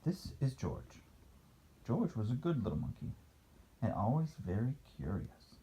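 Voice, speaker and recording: A man's voice: medium pitch, sing-song, unhurried, dark tone, slight background noise. A man reading a picture book aloud as a father to a child, playful, with casual asides and questions.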